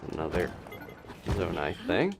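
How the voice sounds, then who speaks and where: A man's voice: low-pitched, huge pitch swings, measured pace, background noise. A man giving casual live gameplay commentary as a streamer, thinking aloud as he plays. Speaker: game streamer, host.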